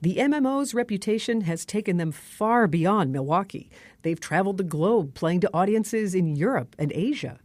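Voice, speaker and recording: Lively woman narrating a news feature. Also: mid-range voice, normal speed, dry recording. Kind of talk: scripted narration. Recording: music clearly behind the voice.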